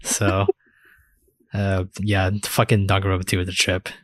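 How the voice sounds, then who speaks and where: A man's voice: deep voice, completely flat delivery, unhurried, dry recording. A man chatting casually as a podcast co-host about video games, swearing freely. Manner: casually profane.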